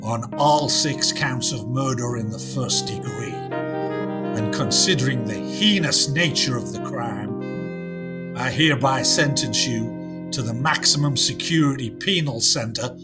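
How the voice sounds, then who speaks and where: Man acting indignant judge, weighty, commanding, slow delivery, radio play, background music. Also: mid-range voice, natural voice. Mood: grave condemnation. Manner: formal, weighty, scolding.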